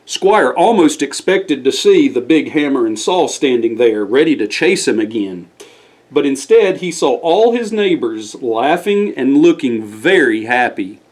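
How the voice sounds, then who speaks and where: A man's voice: mid-range voice, sing-song, a little slow, a little mellow. A man reading a scripted bedtime story aloud as the storyteller.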